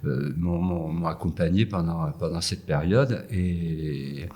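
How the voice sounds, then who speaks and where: A man's voice: sits low, slow, mellow. A man from France answering interview questions casually, hesitating often and restarting sentences. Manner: hesitant, full of "euh" and restarts. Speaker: guest, food entrepreneur. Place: empty yoga room with echo.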